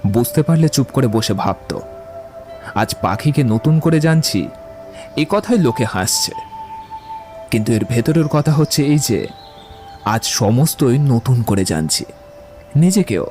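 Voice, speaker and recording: A man's voice: medium pitch, very animated, a little slow, long pauses, warm tone, soft background music. A man playing a witty, poetic young romantic in an audio drama, eloquent and playfully theatrical. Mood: musing, playful. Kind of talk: dramatised audiobook dialogue acting.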